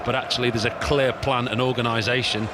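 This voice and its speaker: A man's voice: medium pitch, level tone, a little fast. A man working as co-commentator, giving live, explanatory match analysis and restating his points, with stadium ambience behind. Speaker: co-commentator and pundit. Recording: clear ambient noise behind.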